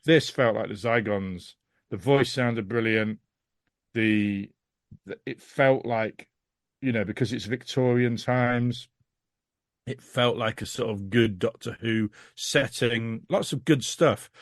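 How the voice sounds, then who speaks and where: A man hosting a podcast, giving an exasperated, emphatic review in casual, animated chat. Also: mid-range voice, slow pace, no room sound, studio quality. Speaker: podcast host.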